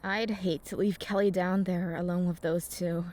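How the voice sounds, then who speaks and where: A woman's voice: on the low side, steady tone, moderate pace, darkish tone, slight background noise, natural voice. A woman playing a reluctant, anxious young woman in an audio drama.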